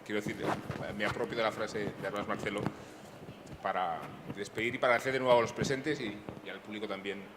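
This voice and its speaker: Fast-talking moderator man in a hall. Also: on the low side, fairly even intonation. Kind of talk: closing a panel. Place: large hall at a public panel. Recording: hall reverb with clear noise.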